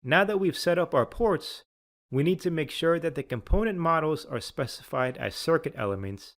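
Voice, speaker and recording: A man presenting a scripted software tutorial as an applications engineer, speaking in a measured, instructional way. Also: on the high side, lively intonation.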